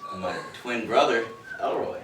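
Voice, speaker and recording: A man's voice: medium pitch, moderate pace, fairly bright tone, natural voice. A man acting a cocky skirt-chasing radio actor on stage, with a smug, mocking delivery. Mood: smug, teasing. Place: live stage in a theatre. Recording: echoey theatre from far off, noisy.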